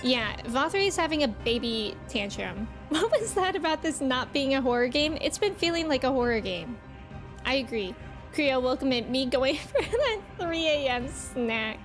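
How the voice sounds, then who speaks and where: A woman hosting a live game stream, chatting casually and reacting in an expressive, informal way. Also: sits high, normal speed. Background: video game music.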